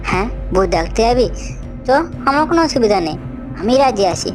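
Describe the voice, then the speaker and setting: Woman doing a bold young cartoon boy, talks really fast and confident, music playing behind. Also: mid-range voice, expressive, lightly acted. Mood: bold, goading. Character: bold young boy, goading his timid friend. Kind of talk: cartoon horror story dialogue. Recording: clear music bed behind the voice.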